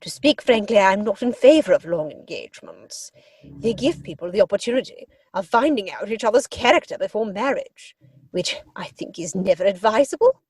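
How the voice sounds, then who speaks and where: Haughty aristocratic English aunt, swooping pitch. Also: female voice, lively intonation, a little mellow, slightly rough, dry recording, low-quality recording. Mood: imperious. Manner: pompous, drawn-out, deliberate delivery. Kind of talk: comic stage-play dramatic reading.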